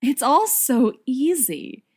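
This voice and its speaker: A woman reading a story aloud as an expressive scripted narration. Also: high-pitched, takes it slowly, slightly rough. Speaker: audiobook reader of fan fiction.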